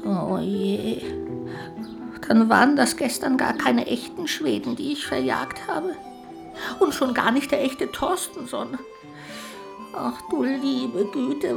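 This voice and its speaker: A woman voicing a small, contrite, childlike ghost in a children's radio play, earnest, lamenting and expressive. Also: a little high, gravelly, full character voice. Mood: dismayed, self-reproachful. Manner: expressive, lamenting, swinging between exclamations and hushed confiding.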